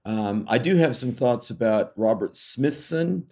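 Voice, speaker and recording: A man's voice: mid-range voice, lively intonation, unhurried, warm tone. A middle-aged man from California, co-hosting a podcast in casual, reflective conversation full of fillers and restarts. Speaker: podcast co-host.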